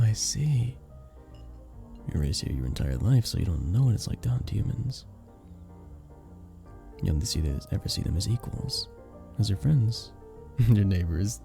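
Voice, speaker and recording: A man voicing a sweet, earnest vampire bartender in a romantic ASMR roleplay, soft-spoken and flirtatious, with hesitant little chuckles. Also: low voice, vocal fry, full character voice. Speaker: audio roleplay performer. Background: soft background music.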